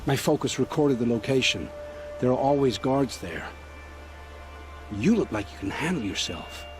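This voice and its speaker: An actor playing a weary, guilt-ridden scout in a video game cutscene, speaking gravely and earnestly over music. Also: male voice, mid-range voice, lively intonation, moderate pace, close to an everyday voice. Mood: pleading. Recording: music behind the voice.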